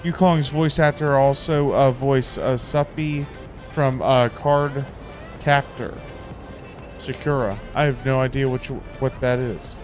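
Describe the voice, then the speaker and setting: A man livestreaming a game, chatting casually in a halting, informal, slangy way. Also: medium pitch, steady tone, darkish tone, faint music behind. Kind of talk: casual gaming livestream chat.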